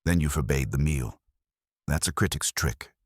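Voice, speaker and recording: A man's voice: extremely low pitch, moderate pace, breathy edge, no room sound, studio quality. A man voicing a suave, vain, theatrical artist and schemer with smug, ornate phrasing, in an audiobook mystery. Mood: defiant.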